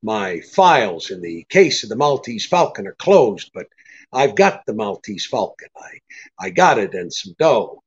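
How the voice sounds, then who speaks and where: An actor playing a hard-boiled, wry private detective with laconic, slangy delivery in a 1940s-style radio drama. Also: male voice, lowish voice, very animated, measured pace, slightly rough, dull audio, heavily acted. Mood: wry, matter-of-fact.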